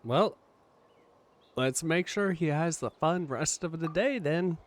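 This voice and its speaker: A woman's voice: low-pitched, lively intonation, slow. A woman giving casual, offhand live-stream commentary, musing aloud as she plays a game.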